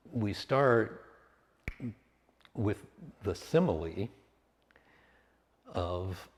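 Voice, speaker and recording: A man leading a guided meditation in short, measured phrases with pauses between them. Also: low-pitched, gravelly, darkish tone. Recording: long hall-like reverb.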